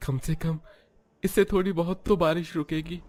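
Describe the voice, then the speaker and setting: A man's voice: fairly high pitch, steady tone, moderate pace, darkish tone, close to an everyday voice. A man voicing a poor, guilt-ridden labourer father, earnest and sorrowful, in a Hindi cartoon story. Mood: subdued.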